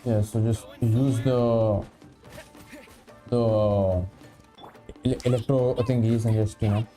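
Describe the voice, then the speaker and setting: Dark, mellow-voiced man streaming games. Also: lowish voice, steady tone, slow, faint music behind. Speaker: live stream host. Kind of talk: casual gaming stream chat.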